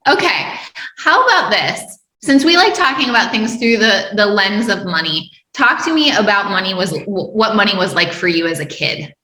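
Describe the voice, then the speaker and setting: A woman's voice: mid-range voice, very animated, normal speed, bright, forceful tone, echoey room. A woman hosting an interview podcast, upbeat and effusive as she introduces her guest and asks questions. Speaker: podcast host.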